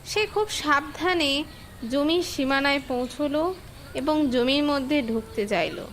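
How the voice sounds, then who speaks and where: A woman's voice: sits high, unhurried, a bit bright, a little hiss or hum. A woman giving scripted narration for a cartoon fable as the storyteller.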